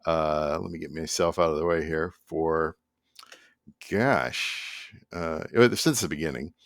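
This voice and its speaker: A middle-aged man with a low voice chats through a behind-the-scenes music vlog. His delivery is loose and rambling, easygoing throughout. He is recorded indoors with a dry, close sound. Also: slow pace, a bit bright, dry recording. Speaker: musician presenting own project. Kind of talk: casual behind-the-scenes vlog talk to camera. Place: small indoor home room at a computer.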